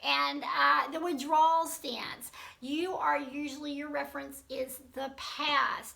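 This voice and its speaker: Woman coach, gravelly allergy voice, high-pitched, earnest teaching tone, lists her points. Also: pushed and bright. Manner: earnest, repeats points in lists, many ums. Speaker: leadership coach. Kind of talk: recorded teaching talk.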